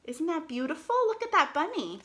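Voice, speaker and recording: A woman with a bright, fairly high voice hosts a storytime for young children. She reads in an expressive, sing-song way and speaks straight to her little listeners in an encouraging manner. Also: brisk, slight background noise. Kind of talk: read-aloud storytime with questions to young children.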